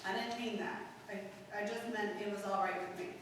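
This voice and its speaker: A woman's voice: mid-range voice, fairly even intonation, brisk, close to an everyday voice. A woman playing an obliging, offhand clerk at a down-and-out hotel in a 1950s-style radio drama, talking in a colloquial way. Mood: offhand, helpful. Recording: distant, very echoey hall with noise.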